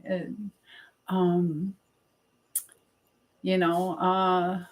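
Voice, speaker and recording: A woman's voice: fairly low pitch, flat delivery, very slow, roomy sound, low-quality recording. A woman hosting a live stream in casual chat, drifting informally between advice to viewers and comments on what she is doing.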